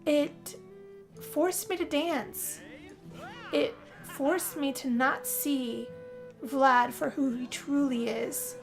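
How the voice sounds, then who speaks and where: A woman's voice: high voice, very slow, full character voice. A woman voicing a moody, weary young woman in a first-person dramatic role-play, with a sighing, confessional delivery. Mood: haunted, entranced. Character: young woman Yazmin, moody, weary, being turned vampire. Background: guitar music.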